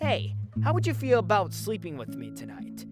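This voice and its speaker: A woman voicing a caring, protective male cartoon hedgehog, gentle and concerned like a big brother, in a fan drama. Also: medium pitch, huge pitch swings, normal speed, over music. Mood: coaxing.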